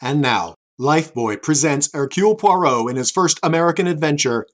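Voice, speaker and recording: A man's voice: fairly high pitch, lively intonation, normal speed. A man announcing a scripted program in a grandiose, formal, dramatic 1940s radio style. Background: music.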